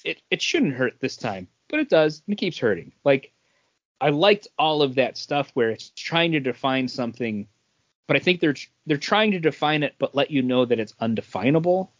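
A man's voice: mid-range voice, lively intonation, normal speed, dry recording. A man hosting a podcast in a casual, conversational review of comic books. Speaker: podcast host. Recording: dull, dry lo-fi sound.

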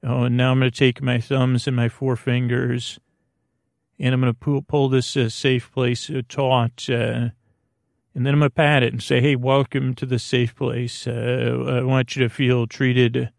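A man's voice: mid-range voice, flat delivery, unhurried, vocal fry, no echo, studio quality. A man hosting a bedtime podcast in a meandering, hesitant monologue, often trailing off and correcting himself, with a soothing, reassuring way of talking. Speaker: podcast host.

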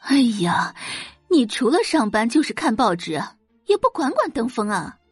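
A woman's voice: a little high, big pitch swings, normal speed, husky, no room sound, faint music behind. A woman playing an exasperated middle-aged wealthy mother and nagging wife in an audio drama, scolding and complaining. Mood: nagging complaint. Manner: scolding, complaining, turning coaxing for money.

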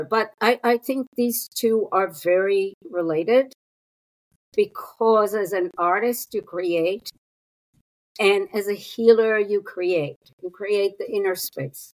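A woman in her sixties from Israel, a psychotherapist speaking as an interview guest in an unhurried, thoughtful way with pauses and fillers. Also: mid-range voice, rough recording. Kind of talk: reflective interview talk.

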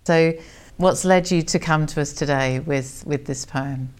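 A woman hosts an interview and encourages her guest with gentle questions. Her voice is smooth and clear, and it sits a little lower than most. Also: a little slow. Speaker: host. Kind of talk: conversational interview.

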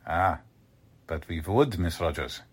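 An actor playing a courteous, cold German Nazi agent who makes veiled threats in a deliberate, suave way, in old-time radio drama style. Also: male voice, deep voice, expressive, husky, full character voice. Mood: quiet threat.

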